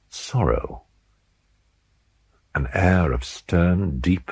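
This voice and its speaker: Very deep, gravelly male gothic narrator. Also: a little mellow, no echo. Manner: solemn, deliberate, theatrical phrasing. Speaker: audiobook narrator. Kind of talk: scripted dramatic narration of gothic fiction.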